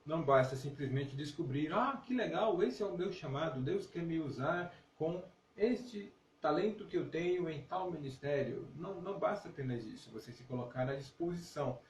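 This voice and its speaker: Higher-pitched man, echoey room. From northeastern Brazil (born in Alagoas, raised in Paraíba). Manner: conversational exhortation with frequent check-in tags. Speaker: ministry leader and live-stream host. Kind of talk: casual devotional live-stream talk. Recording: roomy, distant mic with clear noise.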